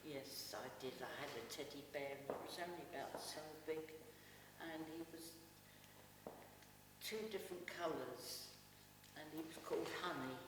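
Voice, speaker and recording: A woman's voice: deep voice, normal speed. Echoey, older woman reminiscing. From Devon, England. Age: 70s. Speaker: reminiscence group participant. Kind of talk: casual reminiscing. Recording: echoey hall, distant mic, noisy.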